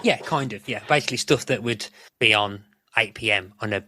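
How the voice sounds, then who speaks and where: A man's voice: fairly low pitch, brisk, bright, forceful tone, slight background noise. A man chatting casually as a podcast guest, explaining things in a rambling way. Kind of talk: casual chat.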